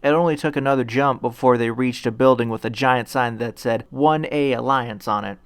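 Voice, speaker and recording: A man's voice: mid-range voice, a little fast, clean tone, dry recording. A man reading scripted fan fiction narration as a storyteller.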